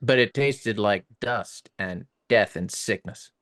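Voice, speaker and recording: A man's voice: on the low side, slow pace, fairly bright tone. A man co-hosting a podcast, tossing wisecracks into casual panel banter, sometimes in a mock-solemn way. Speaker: podcast co-host.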